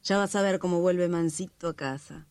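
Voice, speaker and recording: An actress as streetwise nurse, dry studio sound. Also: female voice, fairly low pitch, moderate pace, no echo, lightly acted. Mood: brisk, reassuring. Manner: colloquial, expressive. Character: brisk, streetwise woman nurse who dabbles in folk remedies. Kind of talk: radio melodrama acting.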